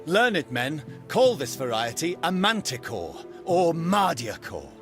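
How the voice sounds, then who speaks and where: An actor playing a zealous, chivalrous knight-errant in a game, declaiming pompously in archaic phrases. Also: male voice, a little high, very animated, slow. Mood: relishing, disgusted. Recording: music under the voice.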